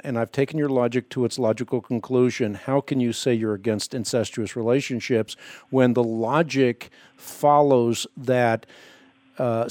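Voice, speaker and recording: A man's voice: mid-range voice, a little mellow, no room sound. A man born in the Netherlands with Dutch as his first language, pressing a caller with repeated questions in a radio debate.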